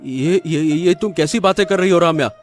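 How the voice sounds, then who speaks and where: A man's voice: fairly high pitch, brisk, close to an everyday voice. A man voicing a helpless, honest poor young farmer in a cartoon story, pleading and wailing with stammered repeats. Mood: shocked pleading. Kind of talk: Hindi cartoon moral story acting.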